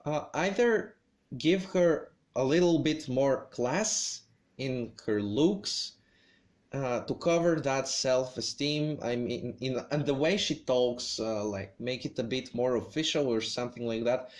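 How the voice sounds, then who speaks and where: A man talking through his opinions on a video game character in a hesitant, measured way, with lots of pauses and restarts. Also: medium pitch. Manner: hesitant, many fillers and restarts. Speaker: gaming video creator. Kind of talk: game commentary vlog.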